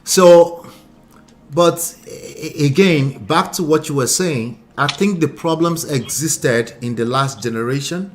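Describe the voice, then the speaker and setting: Brief: middle-aged Nigerian-American-accented man, somewhat high voice, laughy relationship coach. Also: measured pace, faint music behind. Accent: Nigerian, mixed with American. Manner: animated, laughs often, repeats words. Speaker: relationship coach and show host. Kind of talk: casual podcast chat.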